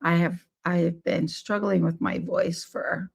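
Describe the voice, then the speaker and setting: Hoarse, scratchy-voiced woman host. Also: flat delivery. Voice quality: scratchy, squeaky voice. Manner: unhurried, friendly, with self-corrections and small laughs. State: hoarse from laryngitis. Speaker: podcast host and play therapy trainer. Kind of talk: live-streamed podcast talk.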